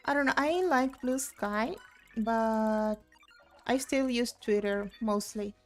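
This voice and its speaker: A woman's voice: on the high side, slow pace. Chatty young woman from Argentina streaming. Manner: chatty, reads chat aloud, rambling with fillers. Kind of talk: casual live-stream chat while gaming. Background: game music.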